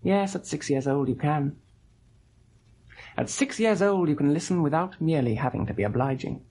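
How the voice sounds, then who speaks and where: Husky, weary, self-doubting young husband. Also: male voice, a little high, lively intonation, dark tone. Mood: self-reproachful. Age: 30s. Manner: halting, plaintive, self-doubting. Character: weak, weary, gentle thirty-year-old husband and father. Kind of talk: old radio drama acting.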